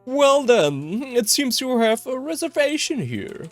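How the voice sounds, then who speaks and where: A performer voicing an eerie, playful attendant in a visual novel, coy and knowing, with teasing, drawn-out exclamations. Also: high-pitched, very animated, no echo, faint music behind, voice pushed up. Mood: breezy, teasing.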